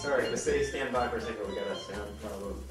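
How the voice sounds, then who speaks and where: A man's voice: on the low side, quick pace, reverberant, over music. A young man co-hosting a casual live stream, reading out fan questions in a laid-back, joking way. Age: young adult. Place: indoor studio room with piano and guitar.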